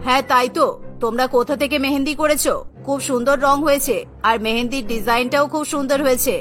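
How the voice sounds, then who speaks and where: A woman's voice: high-pitched, steady tone, a little fast, fairly bright tone, faint music behind, pitched below the performer's own voice. A woman voicing a befuddled, fussy but kindly older mother-in-law. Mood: admiring, curious. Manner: puzzled, fussing.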